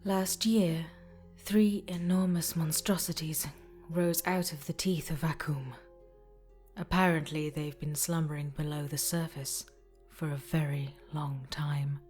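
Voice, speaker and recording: A woman playing a tall, solemn, weary stone forger in an audio drama, speaking in a measured, hushed, ominous way. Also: deep voice, fairly even intonation, soft background music.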